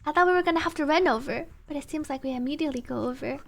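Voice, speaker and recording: A woman's voice: high-pitched, racing, vocal fry. A woman streamer giving live, exclamatory reaction commentary over a game. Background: game music behind the voice.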